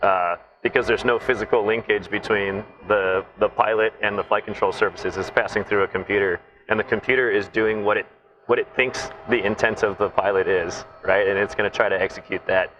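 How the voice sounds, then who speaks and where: A middle-aged man who grew up in Southern California, calmly explaining technical ideas in an interview and often checking in with "right?". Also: on the low side, fairly quick, highs cut off. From Taiwan, raised in Southern California. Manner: thoughtful, explanatory, with frequent "right?" tags. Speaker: naval flight test officer, guest. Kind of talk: interview conversation.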